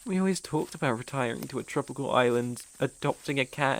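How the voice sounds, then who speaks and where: A British man playing a devoted, possessive stalker in an intimate ASMR roleplay, tender and wry with halting phrasing. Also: a little high, lightly acted. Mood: wry, musing. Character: devoted, possessive male stalker, outdoorsy and softly protective.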